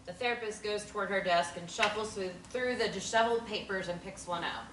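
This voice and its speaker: A woman reading a play's stage directions aloud at a table read in plain, even narration. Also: mid-range voice, fairly quick. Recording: roomy, distant mic with background noise.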